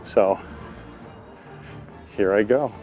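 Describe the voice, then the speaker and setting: Slow-paced man vlogging outdoors. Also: lowish voice, dark tone, soft background music. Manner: informal, rambling, stop-and-start. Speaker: host of his own vlog. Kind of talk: casual vlog chat while walking. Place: outdoors on a walk by a road.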